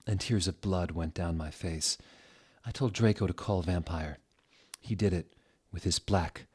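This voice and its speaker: Male narrator, lowish voice, mock-dramatic and deadpan delivery of scripted prose. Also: husky. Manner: deadpan, reads typos and punctuation aloud. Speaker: host reading fan fiction aloud.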